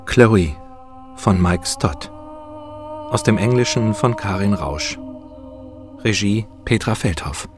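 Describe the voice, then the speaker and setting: A man announcing radio play credits in a scripted, measured style. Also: fairly low pitch. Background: music.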